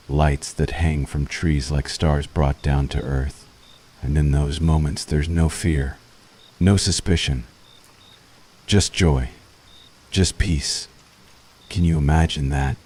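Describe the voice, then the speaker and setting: Guy doing a tired brooding witch hunter for asmr boyfriend roleplay, super deep n dark mellow voice, soft and sincere. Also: heavily acted. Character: weary, conflicted male witch hunter yearning for peace, tender toward enemy. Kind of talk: ASMR boyfriend roleplay, intimate scripted monologue.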